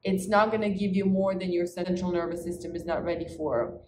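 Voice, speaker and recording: A woman's voice: lowish voice, steady tone, a little fast, a little mellow, a little hiss or hum. Woman in an echoey room. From Ukraine. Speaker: relationship coach. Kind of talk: talk to camera introducing herself to clients. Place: medium-sized living room indoors. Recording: echoey reverberant living room.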